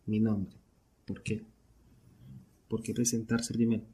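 Husky, mellow Venezuelan man podcasting. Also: on the low side, flat delivery, slow pace. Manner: conversational, asks and answers own questions. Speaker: podcast host. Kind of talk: casual solo podcast monologue.

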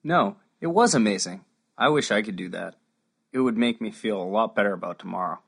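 Woman acting a husky, very low-voiced young squire. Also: animated, a little fast, heavily acted. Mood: earnest, admiring. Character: young male squire, nervous, earnest, putting on bravery.

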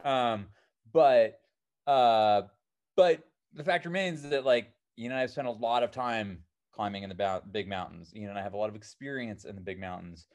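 A man's voice: fairly low pitch, moderate pace. Casting: American man, 30s, mountaineer guest, loose and rambling, plenty of "um" and "you know". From born in New Zealand, raised in Seattle. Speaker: alpine climber and filmmaker, guest. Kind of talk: casual interview answers.

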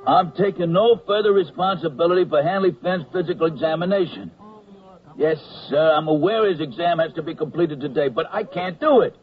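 An actor playing a stubborn, officious army medical sergeant with gruff, slangy talk in a radio comedy drama. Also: male voice, a little high, a little fast, close to an everyday voice. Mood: impatient. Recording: dull, band-limited radio recording.

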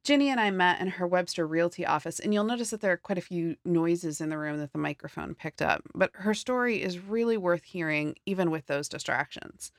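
A woman hosting a theater program, giving a scripted introduction in a clear, measured delivery. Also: on the low side, dry recording, studio quality. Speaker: theater program host and interviewer. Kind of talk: scripted narration and interview questions.

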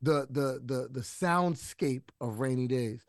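A man with a husky voice, talking loosely with lots of restarts and fillers as an interview guest. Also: medium pitch, slow pace, no room sound, studio quality. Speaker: rapper guest. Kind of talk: casual interview talk.

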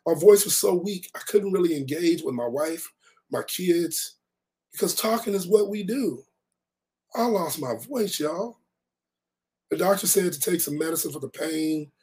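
Hoarse raspy-voiced man, motivational host. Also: on the high side, a little slow, warm tone. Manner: emphatic, repeats key phrases for effect. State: hoarse, recovering from losing his voice. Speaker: podcast host and life coach. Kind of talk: motivational podcast monologue, reading his own blog.

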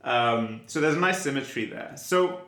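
A male podcast host asks long, winding questions and often restarts them as he thinks. His voice sits in a middle pitch, and the room around him rings with noticeable echo. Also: lively intonation, bright, forceful tone. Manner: thoughtful, halting, with many restarts. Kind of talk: interview.

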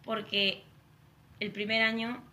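A woman's voice: fairly high pitch, level tone, slow, pushed and bright, slight background noise. A young woman from Spain with a Spanish accent, chatting as a podcast guest, full of fillers and restarts. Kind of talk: casual chat.

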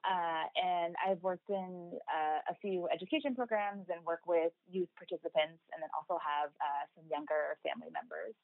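A woman's voice: fairly low pitch, normal speed, few pauses, no room sound. A woman from Ohio, a podcast guest, talking casually and hesitantly with frequent fillers. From United States (Ohio). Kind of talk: casual conversation. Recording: thin phone-like line, dry.

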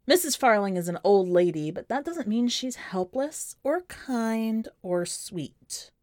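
Expressive woman reading, close dry sound. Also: fairly high pitch, slow pace, no echo. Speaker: podcast host and story reader. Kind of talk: expressive first-person short story narration.

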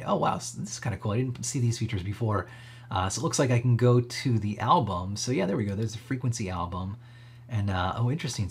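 A man with a slightly husky voice hosting a casual live tech demo, thinking aloud in a rambling, conversational way. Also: lowish voice. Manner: conversational, rambling, frequent hedging asides. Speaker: livestream host demonstrating electronics projects.

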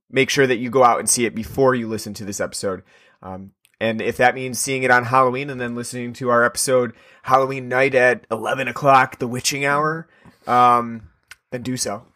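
A man hosts a podcast in loose, casual chat, circling back on himself with plenty of ums and uhs. His voice has a bright, forward edge. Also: medium pitch. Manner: rambling, filler-heavy. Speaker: podcast host.

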